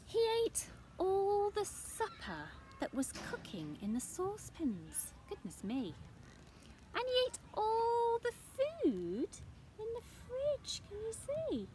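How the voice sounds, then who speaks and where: Animated woman reading to young children. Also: very high-pitched, takes it slowly, slight background noise. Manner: questioning, chatty asides to young listeners. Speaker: storyteller reading a picture book. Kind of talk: expressive read-aloud for young children with asides.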